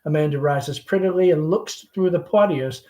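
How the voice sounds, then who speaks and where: A man's voice: on the high side, normal speed, a little mellow. A man reading stage directions aloud in a dramatic read-along style. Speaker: reader of a play, school principal.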